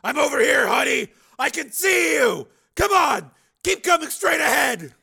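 A man voicing a desperate, protective cyborg father in a story reading, urgently shouting pleas and orders. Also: very high-pitched, sing-song, measured pace, vocal fry, voice pushed up, heavily acted. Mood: urgent calling.

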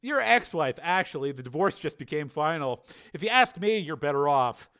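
A man's voice: on the high side, animated, moderate pace, fairly bright tone, pitched above the performer's own voice. A man voicing a cool, calculating government official in an audiobook, speaking in an insistent, matter-of-fact way. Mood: blunt, unapologetic.

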